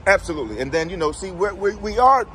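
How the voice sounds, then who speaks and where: Exuberant high-pitched man, very animated. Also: fast. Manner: exuberant, emphatic, repeats phrases. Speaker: tour guide interviewing guests. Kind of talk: casual, excited chat. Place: outdoors by a brick building and tour bus. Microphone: handheld phone, speaker behind camera. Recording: clear outdoor street and vehicle noise.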